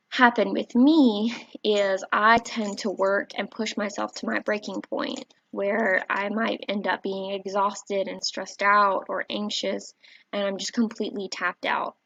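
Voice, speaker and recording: A soft-spoken woman, a teacher, gently walking viewers through a website on screen, with a warm voice and frequent fillers. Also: medium pitch, vocal fry, no room sound. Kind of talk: screen-recorded tutorial walkthrough. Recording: dry, slightly dull home recording.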